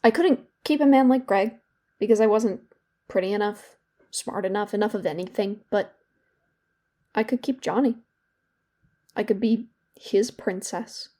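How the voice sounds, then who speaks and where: A woman playing a regretful, hurt young woman who speaks haltingly in an intimate audio drama confession. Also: mid-range voice, warm tone, natural voice. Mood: regretful, subdued. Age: young adult. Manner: hesitant, halting, with repeated words and pauses. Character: regretful, wounded young woman confiding in therapy.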